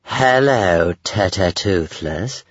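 A man voicing a sly, vain little female dragon in a storybook, with a slow purring manner. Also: low voice, lively intonation, slightly rough, no room sound, highs cut off, exaggerated voice. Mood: purring, flirtatious.

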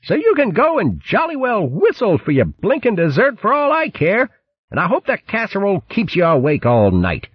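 A man voicing an ancient male hare who blusters in a plummy, pompous way and keeps tossing in "what". His husky voice comes through a dull lo-fi audiobook recording. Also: sits high, wildly swooping pitch, normal speed, dry recording, full character voice. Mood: indignant.

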